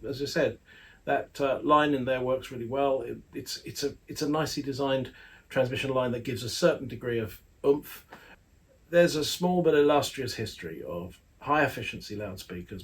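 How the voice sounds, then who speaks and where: A man, an audio magazine editor, giving a casual spoken product review in a chatty, rambling way with playful asides. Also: medium pitch.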